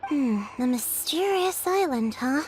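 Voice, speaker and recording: A breezy, helpful young tribeswoman from an anime game. Her voice is extremely high and animated, with a friendly conversational flow. Faint ambience runs behind the dialogue. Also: measured pace, background noise. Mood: thoughtful.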